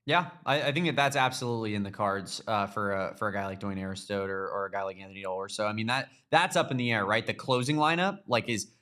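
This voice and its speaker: A man's voice: fairly low pitch, fast talker, hardly any pauses, sharp tone. A man co-hosting a sports podcast, speaking casually and thoughtfully, thinking aloud with fillers.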